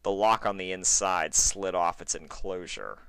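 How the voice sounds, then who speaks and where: A man's voice: low-pitched, a little slow, vocal fry, bright, forceful tone, smooth, no echo. A man narrating a fan fiction story in a dramatic, scripted reading style.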